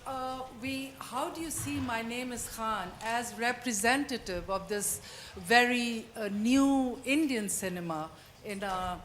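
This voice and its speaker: Soft, halting woman asking a question. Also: fairly high pitch, slight background noise. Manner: soft-spoken and halting, with many fillers. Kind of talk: press conference question. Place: press conference room.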